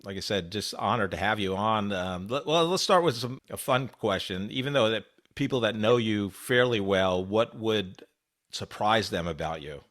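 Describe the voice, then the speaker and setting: A man hosting a podcast interview, eager and halting, with lots of fillers. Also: on the low side, a bit bright. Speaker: podcast host.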